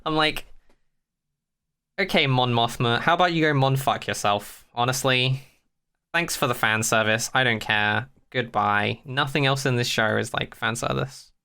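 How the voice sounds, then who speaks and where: Bright, clear-voiced podcast co-host of unclear sex. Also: mid-range voice, a little slow. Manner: sweary, blunt, opinionated. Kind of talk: casual chat.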